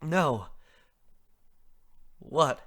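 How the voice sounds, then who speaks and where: A woman playing a frightened, flustered young boy in an audio drama, stammering and halting as he pleads. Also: deep voice, expressive, a bit bright, full character voice. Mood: confused. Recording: roomy echo with music underneath.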